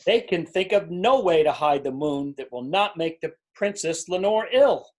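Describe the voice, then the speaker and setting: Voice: mid-range voice, animated, normal speed, darkish tone, lightly acted. An amateur reader playing an anxious, gloomy, demanding king in an emphatic storybook stage reading. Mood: mournful. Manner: exasperated, emphatic, mournful.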